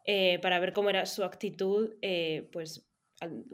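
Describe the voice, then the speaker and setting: A woman co-hosting a casual podcast, chatty and excitable. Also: fairly low pitch, a little slow. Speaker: podcast co-host. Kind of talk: casual chat.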